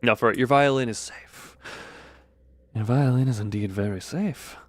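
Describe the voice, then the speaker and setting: A man's voice: medium pitch, normal speed, dry recording. A man streaming a game, chatting casually and reading the story text aloud with dramatic delivery.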